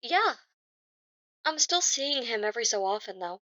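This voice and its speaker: A woman's voice: fairly high pitch, big pitch swings, normal speed, sharp tone. An actress playing an anxious young British woman in a naturalistic audio drama. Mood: guarded, dismissive. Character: anxious young woman doubting her own sanity.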